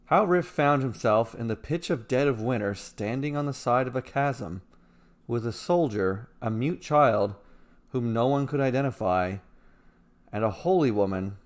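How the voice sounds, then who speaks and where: A man reading a scripted fantasy short story as a storyteller, with dramatic, deliberate phrasing. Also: mid-range voice.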